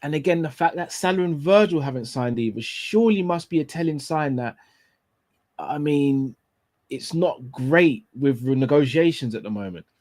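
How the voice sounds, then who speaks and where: Hoarse, raspy man hosting a live fan show. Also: on the high side, lively intonation, normal speed, darkish tone. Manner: opinionated, picks apart phrasing with repeated rhetorical questions. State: hoarse and tired after illness. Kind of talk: casual live football talk.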